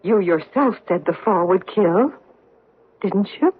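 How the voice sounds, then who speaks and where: Vengeful woman, deliberate dramatic delivery, husky, accusing, muffled vintage radio recording. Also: mid-range voice, lively intonation. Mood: taunting, unsettled. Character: vengeful woman survivor holding her enemy at gunpoint. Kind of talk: old-time radio drama acting.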